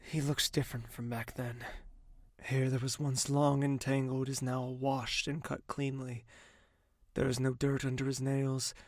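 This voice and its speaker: An actor playing a weary, proud young prince in an audio drama, in both dialogue and introspective narration. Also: male voice, mid-range voice, flat delivery, a little slow, no echo, lightly acted. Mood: reflective.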